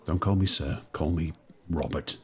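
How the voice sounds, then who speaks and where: An actor playing a reserved, polite gentleman doctor, weary and a little hesitant, in an audio drama. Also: a bass voice, fairly even intonation, moderate pace, husky, heavily acted. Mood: guarded, gentle.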